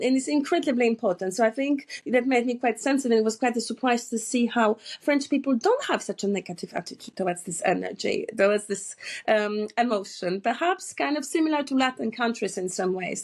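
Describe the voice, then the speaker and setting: A woman's voice: medium pitch, creaky. A woman in her thirties with a Polish accent, answering interview questions as a psychotherapist, thoughtful and prone to restarts. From Poland. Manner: thoughtful, with frequent restarts and fillers. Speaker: psychotherapist guest.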